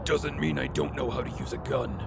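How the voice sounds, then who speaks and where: A man playing a grim, self-assured hooded vigilante in an audio drama, terse and stern with a gravelly voice. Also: medium pitch, sing-song, brisk, very noisy. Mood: menacing.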